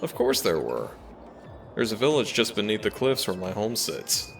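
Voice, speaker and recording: A man playing a gentle young dragon prince, a devoted boyfriend talking tenderly to the listener in a romantic audio roleplay. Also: fairly low pitch, sing-song, moderate pace, vocal fry. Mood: fond. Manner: tender, affectionate, soft-spoken reassurance. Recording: music behind the voice. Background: background music.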